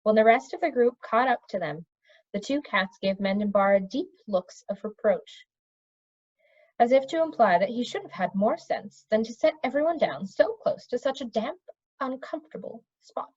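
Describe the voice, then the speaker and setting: A woman reading a fantasy story aloud in an expressive storytelling manner. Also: fairly high pitch, moderate pace, husky, lo-fi sound. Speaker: audiobook reader. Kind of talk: reading a children's fantasy novel aloud with character voices.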